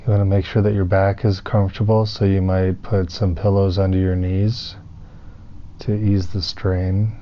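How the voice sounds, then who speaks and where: A man leading a guided meditation as a teacher, soothing and unhurried, pausing between phrases. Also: sits low, level tone. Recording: muffled, with clear background noise.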